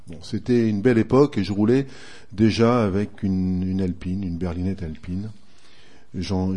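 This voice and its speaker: A retired man in his sixties from northern France talks about his past in a relaxed interview, pausing often as he thinks. His voice sits fairly low and sounds soft and mellow rather than bright. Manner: unhurried, reminiscing, frequent hesitations. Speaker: guest, retired racing driver and motoring writer. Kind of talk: relaxed radio interview answers.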